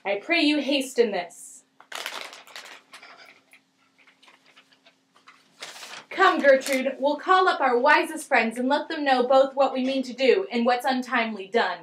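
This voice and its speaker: A woman's voice: high-pitched, expressive, normal speed, hardly any pauses, slight background noise. A woman playing an anxious, commanding king in an amateur Shakespeare puppet show, declaiming old verse. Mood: urgent, troubled. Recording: echoey room, amateur recording.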